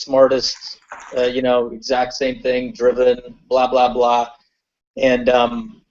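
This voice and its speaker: A man from Guyana hosting a webinar, telling a personal story in a reflective way with many fillers. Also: medium pitch. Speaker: webinar host. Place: online call. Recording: muffled webinar call audio.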